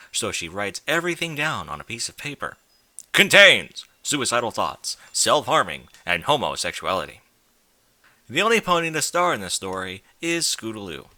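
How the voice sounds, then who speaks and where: A man's voice: mid-range voice, sharp tone. A man reading fan fiction aloud in an informal, blunt way, with incredulous asides in casual speech. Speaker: fan fiction reader and commentator.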